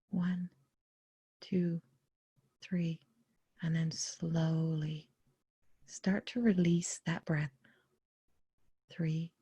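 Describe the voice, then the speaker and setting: A woman guides a meditation in a mellow, slightly low voice. Her tone is very breathy and soft, often close to a whisper, recorded dry. She gives gentle, unhurried instructions in short phrases with pauses between them. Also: dry recording.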